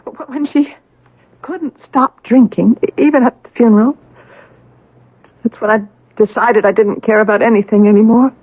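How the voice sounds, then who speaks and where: A woman's voice: fairly high pitch, fairly even intonation, moderate pace, pauses often, slightly rough, no room sound, narrow, tinny audio. An actress playing a troubled, guilt-ridden young woman, bitter and resigned, in a 1970s radio drama. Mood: bitter regret.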